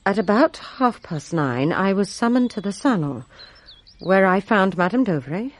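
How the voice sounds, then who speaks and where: A woman's voice: medium pitch, sing-song, unhurried, no room sound. A woman playing a servant giving formal, deferential testimony in a radio drama, composed yet resentful of a rival. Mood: careful testimony. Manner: formal, deferential, precise storytelling.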